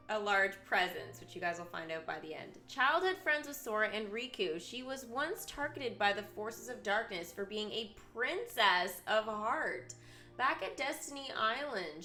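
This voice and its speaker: A woman with a bright, forward voice at a middle pitch, streaming with game music playing behind her. She reads out profiles, then drops into casual, excitable commentary for her viewers. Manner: chatty, excitable, breaking into asides to viewers. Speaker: live streamer. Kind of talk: reading aloud character profiles with casual commentary.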